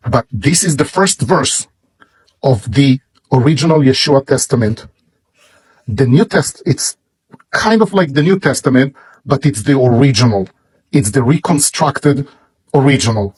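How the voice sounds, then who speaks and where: Husky man with a Hebrew accent. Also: medium pitch, no echo. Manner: deliberate, halting phrasing in short pieces. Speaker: presenter showing a book. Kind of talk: slow explanatory product presentation with read-out verses.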